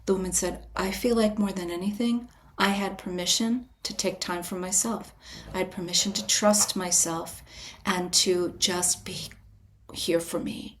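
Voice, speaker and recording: Calm, invitational woman guest. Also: fairly low pitch, slight background noise. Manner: calm, invitational, measured with frequent restarts. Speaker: podcast guest, writer and retreat leader. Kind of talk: reflective interview answer.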